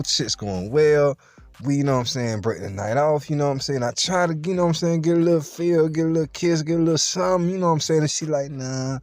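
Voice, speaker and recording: A young man telling a true story straight to the camera in a casual, slangy, animated way. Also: fairly high pitch, no echo.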